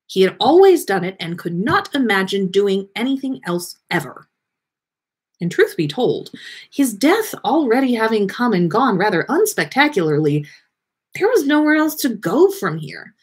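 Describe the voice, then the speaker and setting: A woman reading fan fiction aloud as an expressive storyteller. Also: medium pitch, husky.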